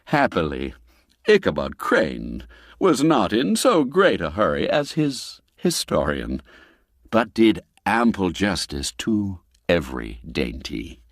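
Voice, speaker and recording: A man's voice: wildly swooping pitch, dry recording, studio quality. A man narrating a radio drama in a theatrical manner, savouring old-fashioned phrases. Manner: slow and deliberate, with wide swoops in pitch. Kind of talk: dramatic audio-drama storytelling.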